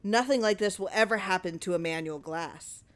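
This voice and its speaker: Woman playing a wily old lawyer laying on flattery. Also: medium pitch, normal speed, close to an everyday voice. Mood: persuasive, flattering. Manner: persuasive, rhetorical.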